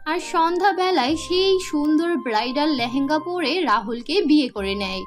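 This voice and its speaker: A woman narrating a scripted fairy tale for a cartoon. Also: sits high.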